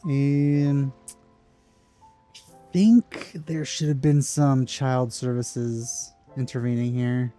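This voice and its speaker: A woman giving offhand, deadpan reaction commentary while playing a video game. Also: very deep voice, takes it slowly, soft background music. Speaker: gaming streamer.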